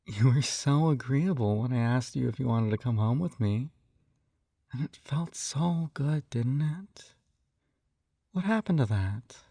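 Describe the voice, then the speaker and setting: Slow talking guy doing a clingy possessive captor roleplay, sweet coaxing but kinda condescending, super dry audio. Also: medium pitch, expressive, gravelly, mellow, dry recording. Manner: coaxing, doting, slightly condescending, often sighing. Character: possessive, coaxing male yandere captor and hypnotist. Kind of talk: intimate scripted roleplay acting.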